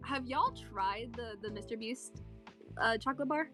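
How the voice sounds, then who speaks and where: A woman's voice: on the high side, normal speed, music in the background. A woman guest in a casual chat, rambling along and stacking up fillers like "okay" as she explains herself.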